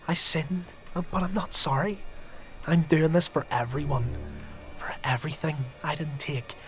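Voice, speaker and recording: An actor playing a fanatical alchemist twisted by dark blood rites, preaching fervently in an unhinged manner in an audio drama. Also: male voice, on the high side. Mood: hushed, feverish. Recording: music behind.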